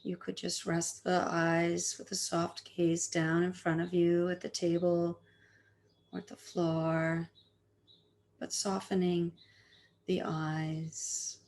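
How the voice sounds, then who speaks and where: A woman teaching meditation, giving gentle, soothing guided instructions with long pauses between phrases. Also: lowish voice, monotone.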